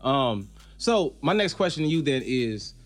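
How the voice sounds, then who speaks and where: A man hosting a casual interview, excitable and slangy, firing off questions. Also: medium pitch, a little slow, soft background music. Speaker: podcast host.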